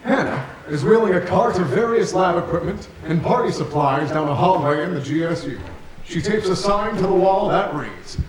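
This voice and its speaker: A man reading scripted stage directions aloud in an echoey theatre with an audience. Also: sits high. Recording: echoey theatre, distant mic, audience sounds.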